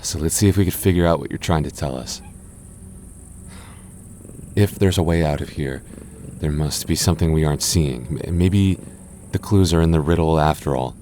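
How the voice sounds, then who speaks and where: A man voicing a gentle, shy goth boyfriend in an ASMR roleplay, soft-spoken and stammering as he reassures his listener. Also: extremely low pitch, moderate pace, long pauses, slight background noise. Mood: reassuring. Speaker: voice actor.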